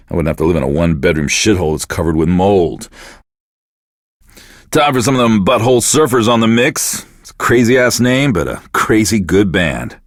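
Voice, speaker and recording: An actor playing a self-pitying, sardonic radio DJ in a video game, world-weary and deadpan. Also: male voice, sits low, no echo, studio quality. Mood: bitter, weary. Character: bitter, self-pitying male radio DJ with a wrecked life. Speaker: radio DJ host. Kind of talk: scripted radio DJ patter for a game.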